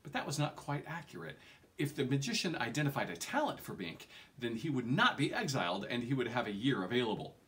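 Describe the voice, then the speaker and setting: A man's voice: mid-range voice, very animated, fairly quick, a bit bright. A man in his forties reading a fantasy novel aloud in a theatrical storytelling manner, with the odd small stumble. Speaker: reader. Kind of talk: expressive audiobook-style reading of a fantasy novel. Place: small home room, indoors.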